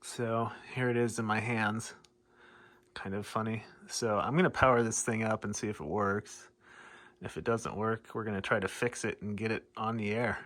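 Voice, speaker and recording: A man's voice: on the low side, level tone, normal speed, a bit bright. An American man talking informally and thinking aloud as he narrates a hands-on hobby repair video. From United States, Midwest. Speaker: radio hobbyist presenter.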